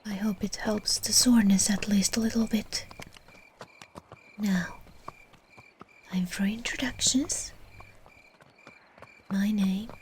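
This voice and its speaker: A woman playing a soothing, genteel yet possessive vampire in a breathy, whispered ASMR roleplay. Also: medium pitch, steady tone, slight background noise, natural voice. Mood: soothing, tender. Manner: slow, gentle, genteel endearments.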